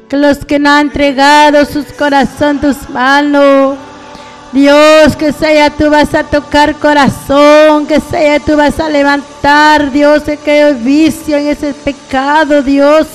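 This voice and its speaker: A woman with a very high voice leads a fervent intercessory prayer. She pleads slowly and evenly, breaking her prayer into short repeated appeals to God. Faint music plays behind her. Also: monotone, poor audio. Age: middle-aged or older. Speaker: evangelical preacher praying on a radio programme.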